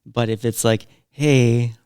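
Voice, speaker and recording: A man's voice: mid-range voice, steady tone, slow, dark tone, smooth, no room sound, clean studio sound. A man chatting casually as co-host, thinking aloud as he rambles and often restarting his sentences. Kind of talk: casual conversation.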